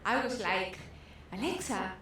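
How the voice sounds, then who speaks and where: Greek accent woman doing standup, slow delivery, super echoey room w noise behind. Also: medium pitch, fairly bright tone. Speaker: stand-up comedian. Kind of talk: stand-up comedy storytelling.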